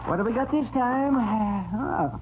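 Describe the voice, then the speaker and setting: An actor playing an excitable, eager frontier town doctor in a 1950s radio western. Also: male voice, extremely high pitch, takes it slowly, exaggerated voice. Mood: brisk, excitable. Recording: tinny, noisy old radio recording.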